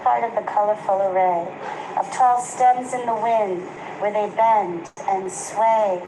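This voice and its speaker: Female preschool teacher for a storybook read-aloud, mid-pitched, engaging children with questions, slight background noise. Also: fairly even intonation. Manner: pauses to ask the children questions. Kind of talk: read-aloud of a picture book to young children.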